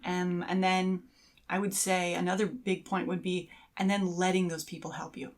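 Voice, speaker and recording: A woman with a somewhat low-pitched voice speaks as a podcast co-host. Her delivery is conversational and casual. She sounds reflective and encouraging as she talks. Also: flat delivery, normal speed.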